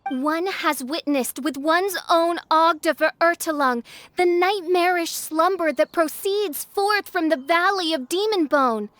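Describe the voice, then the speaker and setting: A woman's voice: very high-pitched, measured pace, a bit bright. A woman playing a haughty, theatrical teenage girl in an anime video game, with grand archaic diction. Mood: grandiose. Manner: pompous, archaic flowery diction with German phrases. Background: game music.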